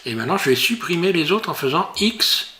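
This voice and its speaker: A man teaching a software tutorial while he works on screen, talking in a hesitant, casual way full of fillers and restarts. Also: fairly high pitch, lively intonation, a little fast. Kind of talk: screen-recorded tutorial narration.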